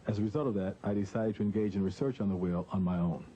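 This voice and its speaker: An American man, a host with a measured, formal way of narrating a scripted documentary and interviewing. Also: on the low side, warm tone, lo-fi sound, slight background noise.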